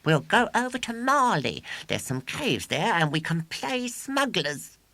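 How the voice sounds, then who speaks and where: A man voicing an eager, bossy eleven-year-old schoolboy who leads a gang, in an excitable children's audiobook style. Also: high voice, measured pace, vocal fry, no room sound, pitched above the performer's own voice, full character voice.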